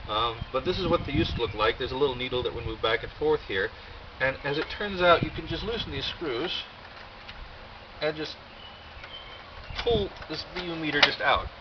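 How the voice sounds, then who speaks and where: An American man giving a casual, rambling show-and-tell with wry, deadpan humour and a halting delivery. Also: fairly high pitch. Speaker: video host. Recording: dull lo-fi audio, loud background noise.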